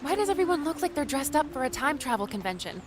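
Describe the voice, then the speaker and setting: A woman's voice: high-pitched, very animated, fast talker. A woman playing a curious, bewildered young woman newcomer in an audiobook, speaking in an incredulous, questioning way. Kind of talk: audiobook character dialogue. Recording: music behind the voice.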